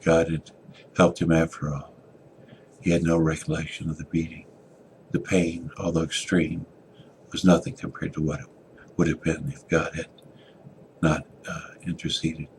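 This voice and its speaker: A man giving a dramatic reading of a story, theatrical, moving between hushed and forceful delivery. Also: flat delivery, normal speed, long pauses, background noise. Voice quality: very deep, gravelly. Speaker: author reading a short story.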